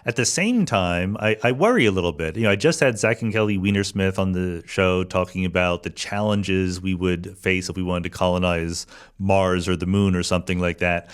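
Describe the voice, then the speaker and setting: A man hosting a conversational interview podcast, speaking in a measured, thoughtful and articulate way. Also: lowish voice.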